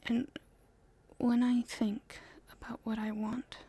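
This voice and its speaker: A woman playing a playful young princess in love, speaking softly and breathily in an intimate ASMR romance roleplay, with gentle, halting delivery. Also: fairly high pitch, little pitch movement, heavily acted. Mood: tender, earnest. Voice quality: breathy, whispery, soft.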